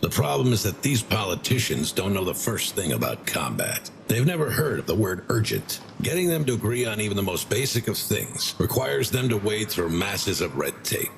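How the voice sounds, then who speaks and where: An actor playing a frank, personable military officer in a video game, briefing in a wry, conversational way. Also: male voice, lowish voice, very animated, moderate pace, gravelly, hardly any pauses, slight background noise. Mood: wry exasperation. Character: gruff, personable male military officer, frankly weary of politicians. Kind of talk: casual in-character game briefing.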